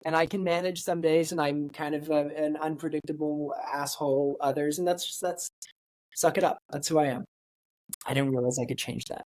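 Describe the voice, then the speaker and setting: A man in his late twenties, a podcast guest talking candidly in a reflective, halting way with many fillers and restarts. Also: fairly high pitch, steady tone, a little mellow, no echo, rough recording. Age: 20s. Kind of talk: candid conversational interview.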